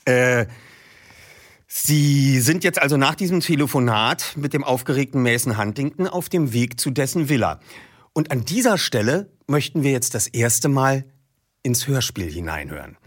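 A man hosting a podcast, reading passages from a book in a lively storytelling way. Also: mid-range voice, moderate pace, dry recording, clean studio sound. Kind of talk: audiobook reading and podcast presenting.

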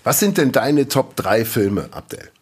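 A man's voice: medium pitch, sing-song, unhurried, darkish tone, slightly rough. A man co-hosting a casual podcast and running a quiz, chatty and rambling, often laughing as he talks. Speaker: podcast co-host and quizmaster.

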